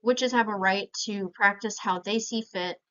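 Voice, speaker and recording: A young woman with an American accent presents information in a plain, explanatory way. Her voice is smooth and clean, sitting at an average pitch for a woman. The recording is a little muffled and lo-fi. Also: moderate pace, pushed and bright. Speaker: student presenter. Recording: slightly dull, lo-fi sound.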